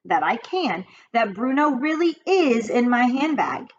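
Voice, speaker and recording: A woman's voice: on the high side, big pitch swings, a little slow, pitched above the performer's own voice. A woman reading as a firm older grandmother. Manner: patient, firm. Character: calm determined grandmother. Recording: dull lo-fi with faint hiss.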